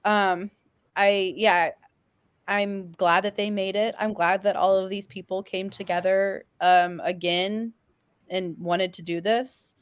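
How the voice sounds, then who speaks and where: A woman's voice: medium pitch, steady tone, takes it slowly, clean tone, dry recording. A woman chatting casually as a panelist, giving her opinion in a fan discussion.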